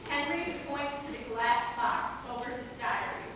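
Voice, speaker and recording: Female stage-direction narrator, high voice, distant mic in a noisy reverberant theater. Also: a little slow, pushed and bright. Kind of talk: scripted narration at a staged reading. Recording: echoey hall, distant, noisy.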